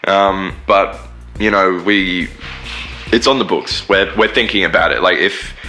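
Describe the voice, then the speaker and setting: A man's voice: deep voice, measured pace, bright, forceful tone, faint music behind, rough recording. A man, a band singer and guitarist, rambling casually in an interview. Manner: rambling, many fillers and restarts.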